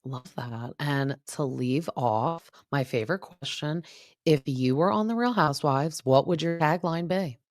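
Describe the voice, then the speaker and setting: Low-voiced woman podcast host. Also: dry recording, studio quality. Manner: supportive, quick to agree. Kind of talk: casual gossip chat.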